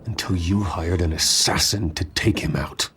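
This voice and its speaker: Very low, brooding hero. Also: male voice, steady tone, normal speed. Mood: bitter, defiant. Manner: terse, cold, clipped. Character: stern wealthy young man, brooding, bitter, righteous. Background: loud dramatic music.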